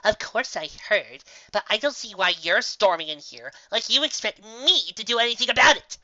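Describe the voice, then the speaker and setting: A woman's voice: mid-range voice, animated, moderate pace, dull audio, a crowd in the background, voice pushed up, lightly acted. A woman playing an officious female unicorn official, haughty and weary, in a story reading. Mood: irritated.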